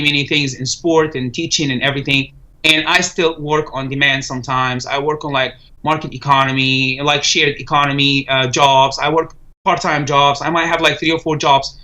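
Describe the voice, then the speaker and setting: Egyptian male guest, bright tone, earnest, halting. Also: fairly high pitch. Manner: earnest, halting, many fillers. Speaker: studio guest. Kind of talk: interview answers.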